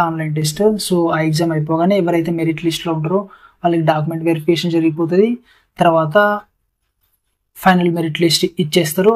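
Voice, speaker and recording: A man's voice: a little high, a little mellow. A man presenting job updates as an informal explainer, repeating key points and mixing in English terms.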